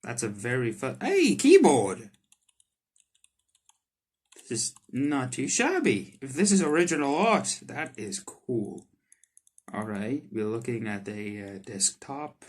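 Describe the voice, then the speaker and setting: A man doing let's play commentary on a visual novel, reading the text aloud and reacting to it excitably. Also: mid-range voice, takes it slowly. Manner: excitable, reacts in sudden loud outbursts. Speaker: streamer playing a visual novel. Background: soft game music.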